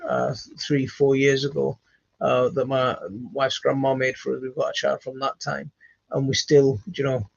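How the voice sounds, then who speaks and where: A man's voice: medium pitch, vocal fry, highs cut off. A man in his thirties from Leeds with a Yorkshire accent. He hosts a gardening channel and rambles through a casual live-stream chat with viewers, using lots of fillers and enthusiastic repeats. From Leeds, Yorkshire, England. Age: 30s. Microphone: phone.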